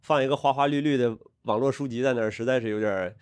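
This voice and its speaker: A man from Northeast China co-hosts with easy self-mockery, chuckling through his sentences. His voice sits at a middle pitch. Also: quick pace. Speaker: podcast co-host. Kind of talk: casual chat.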